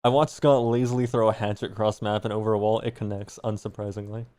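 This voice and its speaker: A man's voice: fairly low pitch, little pitch movement, fast talker, darkish tone, smooth. Male streamer reading fan fiction aloud.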